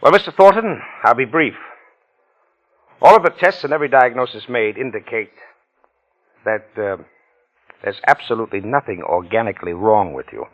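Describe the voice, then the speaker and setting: An actor playing a hesitant, grave doctor in 1940s radio mystery style, formal and halting in his delivery. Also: medium pitch, expressive, fairly bright tone, lightly acted. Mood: businesslike. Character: hesitant, grave doctor breaking hard news. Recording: dull, band-limited 1940s radio recording.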